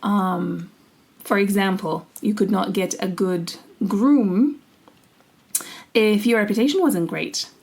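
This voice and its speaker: A woman's voice: medium pitch, slow, vocal fry, a little mellow. A young woman from Syria, co-hosting a podcast and telling personal stories in an earnest, casual way. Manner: earnest storytelling, laughs easily. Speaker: podcast co-host. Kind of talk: casual conversational chat.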